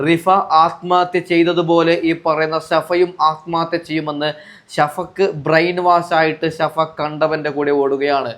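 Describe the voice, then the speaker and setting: High-voiced Malayali man, indignant commentary. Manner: indignant, repetitive, rhetorical questioning. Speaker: vlogger commenting on social media disputes. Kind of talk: accusatory commentary addressed to viewers.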